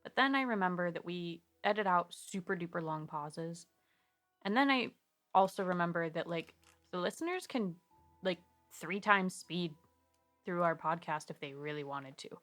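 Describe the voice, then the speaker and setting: A woman chatting casually as a player on a tabletop game podcast, animated, slangy and jokey. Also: lowish voice, normal speed, faint music behind. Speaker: player on an actual-play podcast.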